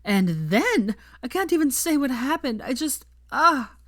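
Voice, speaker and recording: A woman's voice: sits high, very animated, unhurried, a bit bright, no room sound, clean studio sound, voice pushed up, heavily acted. A woman voicing a brash, theatrical, foul-mouthed young woman in an audiobook story, gushing and emphatic. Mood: gushing, lovestruck. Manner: gushing, casual, emphatic, swearing. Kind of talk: fan fiction audiobook character voice.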